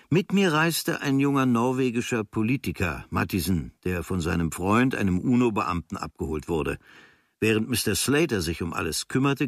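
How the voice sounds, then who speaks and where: An actor reading as an observant writer recounting travels from a diary, in clipped, dryly observant phrases with a husky voice. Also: male voice, on the low side, no echo, studio quality.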